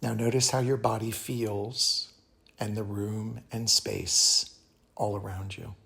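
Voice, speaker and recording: Low-voiced man guiding a meditation. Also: gravelly. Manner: calm, soothing, measured phrasing with pauses. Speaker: yoga teacher guiding meditation. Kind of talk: guided meditation.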